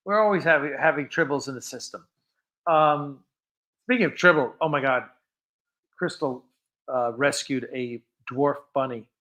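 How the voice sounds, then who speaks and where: Bright-voiced man, rambling livestream host. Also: mid-range voice. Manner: rambling, halting, self-interrupting. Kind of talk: casual, unscripted livestream chat.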